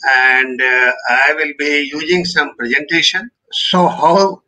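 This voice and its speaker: A man's voice: fairly high pitch, fairly even intonation, takes it slowly, bright, forceful tone, rough recording. An older man with an Indian accent, speaking as a guest presenter in formal remarks.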